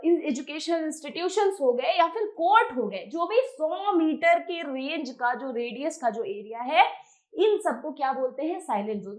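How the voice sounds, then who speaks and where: An Indian woman giving an explanatory lecture in an emphatic, conversational way, posing questions and answering them herself. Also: high-pitched. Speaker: teacher, exam coaching presenter. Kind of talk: explanatory lecture mixing Hindi and English.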